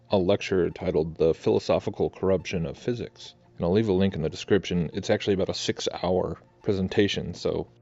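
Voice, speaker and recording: Really low-voiced guy rambling casual commentary, kinda hesitant, dull lo-fi audio. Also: darkish tone. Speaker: video commentator. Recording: dull lo-fi voice recording.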